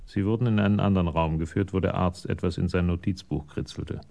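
An actor as the narrator of a crime radio play, reading in a measured, detached manner. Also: male voice, low-pitched, fairly even intonation, creaky, dark tone.

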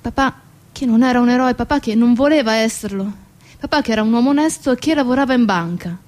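A woman narrating a dramatic theatre monologue, solemn and deliberate, in short measured phrases. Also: on the high side, darkish tone. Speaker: stage actress narrating.

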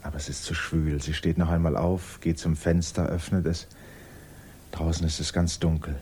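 An actor narrating a literary 1950s German radio drama as a sober, observant storyteller. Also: male voice, rumbling low, level tone, moderate pace, darkish tone, slight background noise. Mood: subdued, melancholy.

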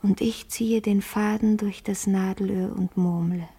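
An actress playing a dreamy countrywoman in a literary radio play monologue, murmuring in a hushed, breathy whisper. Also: mid-range voice, fairly even intonation, measured pace.

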